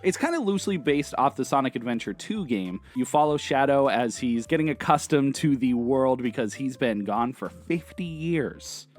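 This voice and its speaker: A man's voice: mid-range voice, lively intonation, normal speed, few pauses. A man co-hosting a film review show in a casual, chatty way, playful and jokey with mock emphasis. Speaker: co-host of a movie review show.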